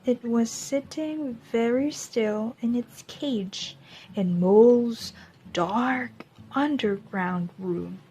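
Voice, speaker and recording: A woman reading a children's storybook aloud as a teacher, in a deliberate storytelling manner. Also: on the high side, mellow, slightly rough, poor audio.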